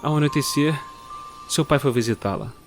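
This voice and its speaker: A man narrating a dark story in a measured, dramatic way. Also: on the high side, over music. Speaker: narrator and producer.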